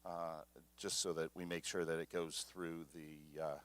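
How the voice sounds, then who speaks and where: A man officiating a small wedding, speaking informally and haltingly into a microphone. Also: a bass voice, flat delivery, a bit bright. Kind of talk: informal wedding ceremony address. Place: small wedding ceremony at a temple, streamed. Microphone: microphone through a sound system.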